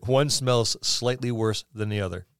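A man's voice: mid-range voice, moderate pace, clear, resonant tone, no room sound, studio quality. A man co-hosting a podcast, talking casually and conversationally about tabletop roleplaying. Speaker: podcast co-host.

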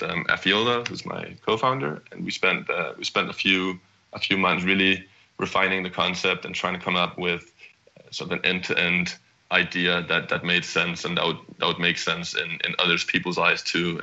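A young man from Denmark speaks in a low register with a very forceful, bright edge. He talks at a halting, conversational pace and keeps restarting his phrases as he tells his story. The audio is dull and lo-fi. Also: level tone, gravelly. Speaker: startup CEO guest. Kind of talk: conversational interview answer.

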